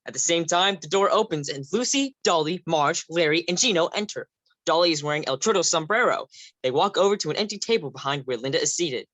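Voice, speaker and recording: A woman reading out stage directions in a plain, matter-of-fact voice. Her voice is low, and the recording is dry and close, with no room sound. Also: lively intonation, brisk, a bit bright, no echo.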